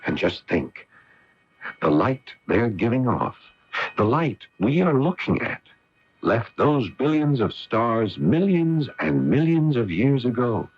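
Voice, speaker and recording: An actor playing an eager, awestruck astronaut commander in a 1980 radio drama, with an earnest, musing, dramatic delivery. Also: male voice, mid-range voice, very animated, takes it slowly, slightly rough, heavily acted. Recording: dull, noisy 1980 AM radio recording.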